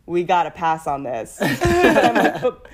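A young woman with a fairly deep voice, chatting casually, laughing often and swearing freely. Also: huge pitch swings, measured pace, a loud crowd. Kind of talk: casual chat.

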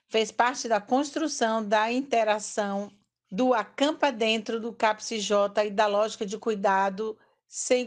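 Bahian woman host reading introductions. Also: on the high side. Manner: reads long credentials in a steady, measured way. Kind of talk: scripted guest introductions.

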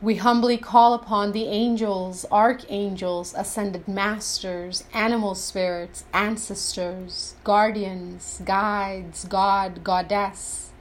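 Slow-paced woman guiding a meditation. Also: mid-range voice, fairly even intonation, slight background noise. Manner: deliberate, reverent, instructional. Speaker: meditation guide. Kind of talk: scripted guided meditation.

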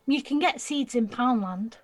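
An actress playing a blunt, cheeky, streetwise nineteen-year-old woman who talks in clipped slang with a slightly husky voice in an audio drama. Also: on the high side, normal speed, lightly acted. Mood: curious. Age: young adult. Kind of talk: naturalistic audio drama dialogue.

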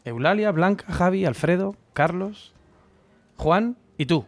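A man hosts an interview, asking casual questions and affirming his guest's answers. His voice sits a little high. The recording is close and dry. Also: lively intonation, slow pace, no room sound. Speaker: host.

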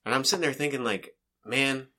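A man with a nasal voice co-hosting a podcast in casual comedic chat, now and then dropping into soft mock-seductive voices. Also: mid-range voice, fairly quick. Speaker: podcast co-host. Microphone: desk USB condenser mic.

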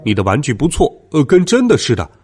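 A man voicing a gruff, blustering middle-aged zookeeper in a children's storybook narration, impatient but kindly. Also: medium pitch, wildly swooping pitch, fast talker. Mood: gruff, friendly. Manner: loud, blustering, hesitant grunts.

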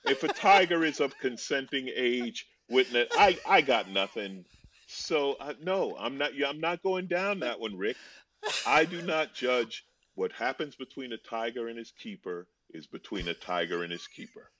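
A man with a deep voice, answering fan questions with wry, deadpan jokes and drawn-out punchlines during a video call. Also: no room sound. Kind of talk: joking video-call chat. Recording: dull, lo-fi video-call audio.

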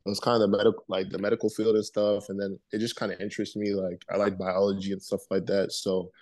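A man's voice: lowish voice, little pitch movement, quick pace, a little mellow, dry recording. A man in his twenties co-hosting a podcast over a video call, laid-back and slangy. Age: 20s. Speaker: podcast co-host. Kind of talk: casual chat.

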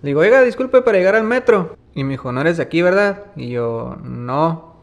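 A man's voice: fairly high pitch, expressive, a little fast, creaky. Laid-back Baja Californian man in his thirties. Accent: northern Mexican, Baja California. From Ensenada, Baja California, Mexico. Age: 30s. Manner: slangy, tags nearly every phrase with "güey". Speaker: musician guest. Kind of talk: casual interview storytelling.

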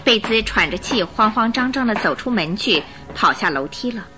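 Bright, animated female radio drama narrator. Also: medium pitch, moderate pace, soft background music.

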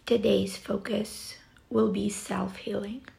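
A woman guiding a yoga nidra relaxation session in a soft, soothing, breathy way, with long pauses between short phrases. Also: medium pitch, flat delivery. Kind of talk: guided relaxation meditation.

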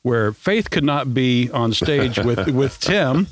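A man's voice: mid-range voice, animated, slow, dry recording. A man hosting a radio interview, asking friendly questions with frequent restarts. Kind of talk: casual interview.

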